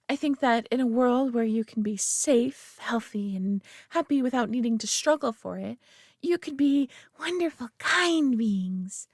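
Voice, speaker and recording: A woman's voice: high voice, a little mellow, no room sound, clean studio sound, full character voice. A woman playing a proud, possessive but caring superior alien being in a roleplay audio drama, speaking in a haughty, condescending yet tender manner.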